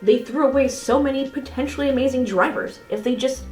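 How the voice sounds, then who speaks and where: A woman's voice: high-pitched, fairly quick, darkish tone. Woman reviewing races in a roomy echo. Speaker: motorsport video creator and host. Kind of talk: semi-scripted race review commentary. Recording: roomy echo with faint music behind.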